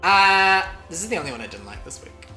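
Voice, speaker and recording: Co-host from the UK, casual review chat, bright voice, echoey room. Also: mid-range voice, very animated, soft background music. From United Kingdom. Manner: chatty, quick verdicts, nitpicking asides. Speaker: co-host of a drag show review.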